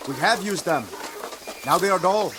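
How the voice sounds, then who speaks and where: A man's voice: sits high, lively intonation, moderate pace, noisy background. An actor playing a weary male rebel foot soldier in a radio drama, protesting as he speaks.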